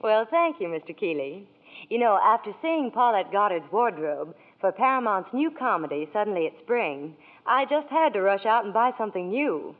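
A woman's voice: mid-range voice, very animated, moderate pace. A woman Hollywood reporter delivering scripted promotional chat in 1940s radio style. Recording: tinny old radio broadcast.

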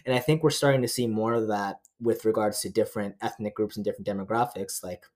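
A man's voice: fairly low pitch, steady tone, fast talker, darkish tone. A young man, casually explaining his views as a podcast co-host. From Canada, born in Nepal. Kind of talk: casual discussion.

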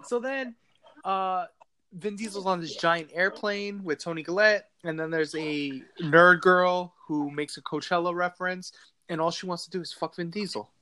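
A woman's voice: fairly low pitch, moderate pace, fairly bright tone, no echo. A woman casually recapping a movie on a podcast, laughing often and making sarcastic asides. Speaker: podcast co-host.

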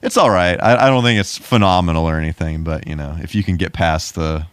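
Male podcast co-host, deep-voiced, relaxed and conversational, dry studio-like sound. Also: a little fast, clear, resonant tone, no echo. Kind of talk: casual chat about movies.

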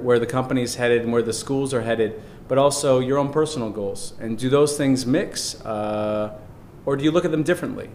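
A male host leads a casual interview in a friendly tone, dropping short agreements between his questions. His voice sits at a middling pitch, with a soft rumble of background noise. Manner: friendly, with short backchannel replies. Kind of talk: conversational interview.

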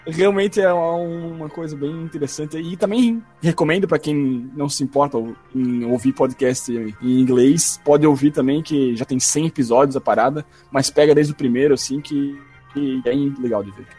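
A man's voice: fairly high pitch, moderate pace, mellow, breathy edge, faint music behind. A Brazilian man on a podcast, recommending a book in a hesitant way, stopping often to search for words. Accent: Brazilian Portuguese. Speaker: podcast co-host. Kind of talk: casual chat.

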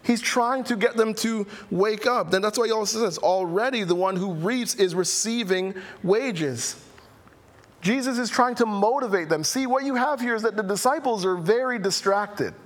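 A man delivering a sermon in a church, his fairly high voice carried by a long reverberating hall. He reads scripture aloud, then explains it with an animated, emphatic delivery and light joking asides. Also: moderate pace. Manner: animated, rising to emphatic highs, playful asides. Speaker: pastor.

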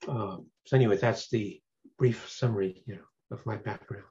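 Older male physician, husky voice, halting delivery full of fillers, dull lo-fi audio. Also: fairly low pitch, dark tone. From San Francisco. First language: Toishanese/Cantonese. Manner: rambling, many filler pauses. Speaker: physician guest. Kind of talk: panel self-introduction.

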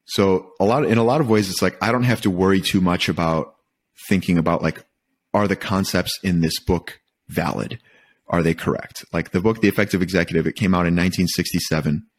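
A man from Michigan with a very deep voice speaks as an interview guest. He talks casually and thoughtfully, often stopping to rephrase a point as he works through it. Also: steady tone, husky. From Michigan, United States. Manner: thoughtful, halting, rephrases as he goes. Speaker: podcast guest. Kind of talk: casual reflective interview talk.

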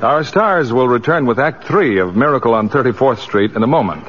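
A man's voice: medium pitch, expressive, a little fast. A man announcing in 1940s network radio style on a muffled old recording. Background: loud music.

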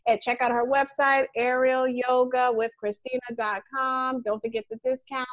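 A lively woman co-hosting a podcast, with a fairly high voice. She talks casually with slang and laughs easily. Her delivery stays loose and friendly in tone. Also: moderate pace. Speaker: podcast co-host.

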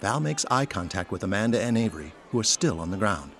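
A man's voice: on the low side, big pitch swings, quick pace, a bit bright. A man reading scripted dramatic narration for an audio drama.

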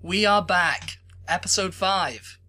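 A man's voice: sits high, takes it slowly. A man with a Yorkshire accent, co-hosting a podcast in casual chat, joking and teasing as he tells stories. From England. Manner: joking, teasing, lively storytelling. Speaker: podcast co-host.

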